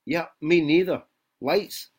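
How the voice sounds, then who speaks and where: A man playing a weary, sardonic American man in a novel reading, with a put-on American accent and gruff manner. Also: on the high side, steady tone, slow pace, voice pushed up.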